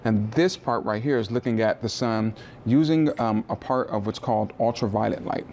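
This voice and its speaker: A man's voice: fairly low pitch, moderate pace, darkish tone, a little hiss or hum. Chatty man guiding a tour. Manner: friendly, chatty, with small restarts and asides. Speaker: planetarium manager giving a tour. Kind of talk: informal guided tour explanation. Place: rooftop observatory outdoors, then indoor exhibit room.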